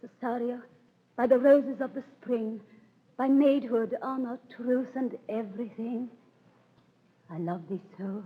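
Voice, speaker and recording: A woman playing a proud, yearning highborn lady, with stately verse delivery in 1930s radio Shakespeare style. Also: a little slow, breathy edge. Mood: passionate, pleading. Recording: thin, phone-like 1930s radio recording.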